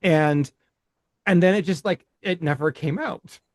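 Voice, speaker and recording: A man's voice: fairly high pitch, measured pace, dry recording, clean studio sound. A middle-aged man co-hosting a movie podcast, rambling casually with restarts and swearing. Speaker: podcast co-host.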